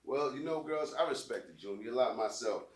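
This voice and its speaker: A man's voice: medium pitch, lively intonation, normal speed, close to an everyday voice. A man playing a mild, well-meaning small-town priest, hesitant and consoling, in a live amateur stage comedy. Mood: sympathetic. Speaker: actor in a staged reading of a short play. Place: small college theatre stage. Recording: echoey theatre room.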